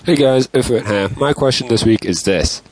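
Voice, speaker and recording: A man's voice: medium pitch, normal speed, rough recording. A man presenting a short prepared question as a contributor to a show. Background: music.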